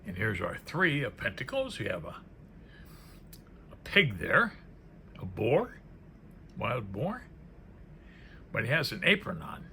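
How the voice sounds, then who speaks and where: A man's voice: lowish voice, wildly swooping pitch, slow pace, gravelly, pauses often, bright, forceful tone. A man giving casual, unscripted commentary as he presents a card deck, musing aloud and trailing off into murmured asides. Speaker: presenter reviewing a tarot deck. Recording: faint background noise.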